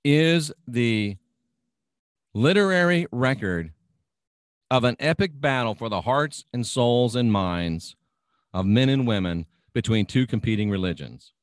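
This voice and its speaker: A man's voice: medium pitch, expressive, a little slow, no echo. Emphatic American man, dry studio voice. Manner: emphatic, insistent, piles up repeated phrases. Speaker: radio show host. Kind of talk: preachy radio monologue.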